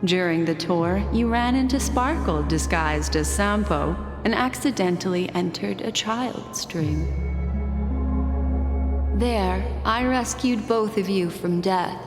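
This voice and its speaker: An actress playing a calm, mysterious storyteller in a game cutscene, speaking soothingly and deliberately, straight to the listener. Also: female voice, medium pitch. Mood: measured storytelling. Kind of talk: scripted game cutscene narration. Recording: echoey reverb with music behind. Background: music.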